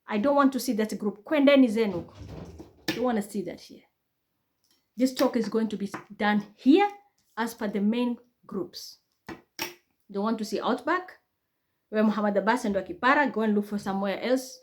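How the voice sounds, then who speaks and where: A woman's voice: mid-range voice, moderate pace, long pauses, a little hiss or hum. A woman with a Kenyan accent giving a blunt, heated monologue, swearing freely and building to outbursts. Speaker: online commentator. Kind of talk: impassioned monologue.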